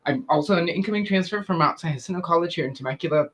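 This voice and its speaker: A man's voice: on the high side, rapid-fire. A young man from Syria answering committee questions, earnest and hesitant, with many fillers. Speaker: student applicant.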